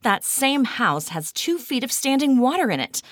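A woman voicing a brash, quick-tempered female TV renovation star who talks bluntly and sarcastically. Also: on the low side, big pitch swings, fairly bright tone, no room sound, studio quality. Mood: fiery.

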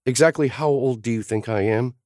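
A man voicing a sharp-tongued, competitive grown woman who talks in a snippy, wisecracking way. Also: medium pitch, a little fast, dry recording, studio quality, pitched above the performer's own voice, lightly acted. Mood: wry.

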